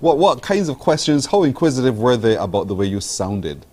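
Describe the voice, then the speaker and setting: A man's voice: mid-range voice, big pitch swings, a little fast, warm tone. A man hosting a morning show, asking probing, conversational questions. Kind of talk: interview questions.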